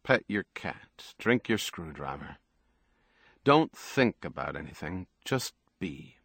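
Male narrator as world-weary man, audiobook character, lowish pitch, unhurried, cautious, blunt, protective. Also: big pitch swings, dry recording, studio quality, full character voice. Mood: soothing, instructing. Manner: measured, cautious, quietly firm.

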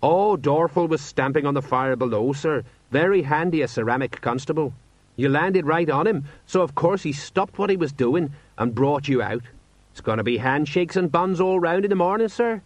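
A man's voice: on the high side, animated, normal speed, dry recording, voice pushed up. Man doing an eager, chatty watch sergeant. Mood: helpful. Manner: colloquial, helpful, fawning. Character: eager, deferential, chatty male watch sergeant, easily proud. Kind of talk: audiobook character voice.

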